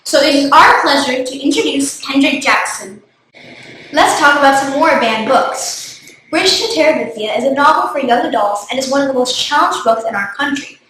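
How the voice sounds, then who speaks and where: A woman reading school morning announcements in a measured way. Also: high voice, a bit bright, slight background noise. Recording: very echoey room.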